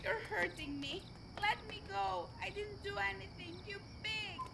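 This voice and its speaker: A woman's voice: very high voice, measured pace, creaky, sharp tone, a little hiss or hum, heavily acted. An actress playing an outraged, defiant woman under arrest in a radio play. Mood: outraged, pleading.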